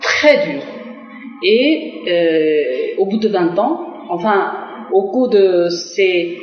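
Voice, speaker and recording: A middle-aged woman from Korea speaks, answering a TV interviewer as a diplomat. She pauses often, fills gaps with "euh" and restarts her phrases. The sound is roomy and dull, like an old lo-fi broadcast. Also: on the low side, animated, soft background music. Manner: hesitant, many fillers and restarts.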